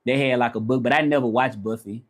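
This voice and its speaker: A man's voice: mid-range voice, fairly even intonation, quick pace. A man in his thirties from Memphis with a Southern accent, rambling and chatting casually with viewers on a live stream. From Memphis, Tennessee. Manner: rambling, chatty, repeats himself. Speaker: vlogger answering live chat.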